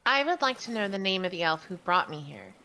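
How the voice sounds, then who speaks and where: Woman acting out sassy human heroine, visual novel game, mid-pitched, bright tone, defiant, teasing. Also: sing-song, brisk, rough recording. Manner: unimpressed, teasing.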